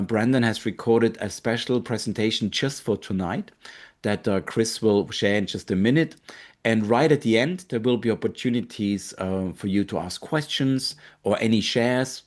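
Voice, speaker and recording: A man in his fifties from Austria, with an Austrian German accent, hosting a live online webinar in an earnest, gentle way with fillers and pauses. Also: fairly low pitch. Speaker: webinar host, marketing director.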